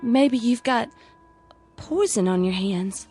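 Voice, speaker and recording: Casting: headstrong, jittery young woman, rural American accent, higher pitch, radio play with soft music. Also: animated, slow. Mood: uneasy. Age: young adult. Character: stubborn, nervous young country sweetheart. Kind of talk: radio drama acting.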